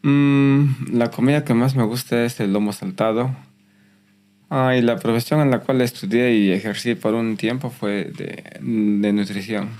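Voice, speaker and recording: A man, giving a personal testimony in a soft, reflective way with some hesitant restarts. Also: medium pitch, steady tone, a little slow. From Peru. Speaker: guest.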